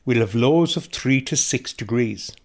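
A man's voice: mid-range voice, measured pace. A man with an Irish accent reading a scripted weather forecast for community radio.

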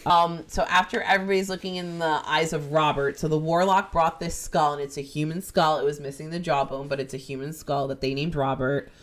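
Low-voiced Jersey woman retelling a ghost story. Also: a little fast, hardly any pauses. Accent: New Jersey. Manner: animated, profane, jumps into quoted voices. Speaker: podcast host. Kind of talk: casual chat retelling a ghost-hunting episode.

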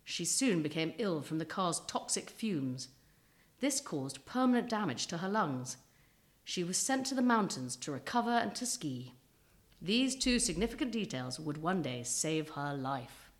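A woman's voice: lowish voice, sing-song, unhurried. A woman narrating a scripted historical story as a storyteller, with a dramatic, suspenseful delivery.

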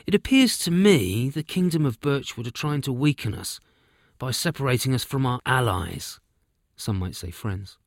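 A man voicing a wise older man explaining calmly and slowly in a storybook reading. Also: medium pitch, dry recording, clean studio sound, natural voice. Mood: grave. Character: wise old man, calm seer.

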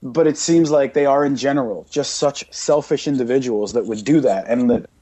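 A man's voice: mid-range voice, moderate pace, warm tone. A man hosting a podcast, talking casually in a blunt, emphatic rant that piles up repeated points. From Britain. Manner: emphatic, repetitive listing, blunt. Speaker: podcast host.

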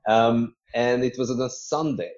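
A man from Hungary, telling a personal story conversationally as a podcast guest, with measured, hesitant phrasing. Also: medium pitch, steady tone, darkish tone, dull audio.

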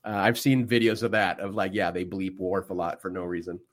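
A man's voice: low voice, little pitch movement. A man hosting a podcast in casual, joking banter from the back room of a game store. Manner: chatty, jokey, quick asides. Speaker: podcast host.

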